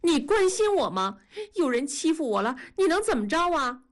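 Role: resentful teenage daughter, shrill and biting, voiced by a woman narrator. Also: huge pitch swings, normal speed, heavily acted. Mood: angry, tearful. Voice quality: shrill, accusing. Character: upset teenage girl lashing out at father.

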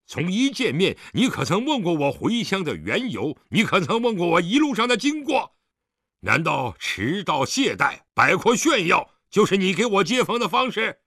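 A man's voice: mid-range voice, huge pitch swings, unhurried, a bit bright, breathy edge, no echo, clean studio sound, exaggerated voice. Man acting a stern, angry old statesman father. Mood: angry reproach. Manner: severe, commanding, interrogating.

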